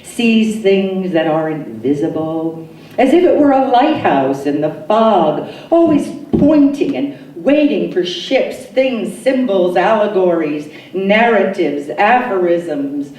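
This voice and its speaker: A woman poet giving an expressive poetry reading, with deliberate, dramatic phrasing and pauses. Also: mid-range voice. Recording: roomy echo, distant mic, faint noise.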